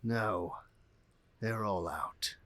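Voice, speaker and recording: A man playing a weakened, sly male vampire in an audio drama, breathless, with a husky, gravelly voice. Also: lowish voice, very slow, bright, forceful tone, no room sound, exaggerated voice. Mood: sly, strained.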